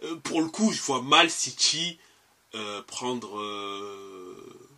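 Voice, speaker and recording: A man's voice: mid-range voice, expressive, very slow, sharp tone. A man hosting a casual football podcast, talking in a hesitant way with many fillers and restarts. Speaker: podcast host.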